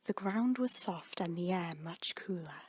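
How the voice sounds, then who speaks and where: A woman's voice: fairly low pitch, animated, measured pace, darkish tone, breathy edge. A woman reading fan fiction aloud as a scripted, dramatic story narrator. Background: background music.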